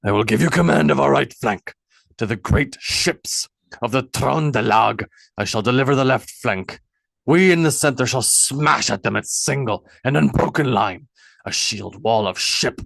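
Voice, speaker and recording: A man voicing a bold, commanding Norse warrior king who stresses each word loudly. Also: mid-range voice, moderate pace, creaky, no room sound.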